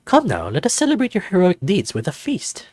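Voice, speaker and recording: A man's voice: high-pitched, wildly swooping pitch, brisk, darkish tone, husky, dry recording, pitched above the performer's own voice, exaggerated voice. A man voicing a flamboyant, theatrical snow leopard who runs a resort in a visual novel, speaking in a campy, dramatic manner. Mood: grand, coaxing. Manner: dramatic, lavish wording, campy.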